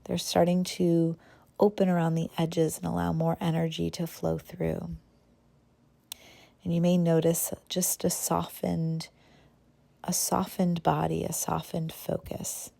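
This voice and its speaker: A woman hosts a guided visualization in a low-set, mellow voice with plenty of creak at the edges. She moves gently through short phrases, leaving pauses between them. The delivery is that of soothing meditation narration. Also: little pitch movement.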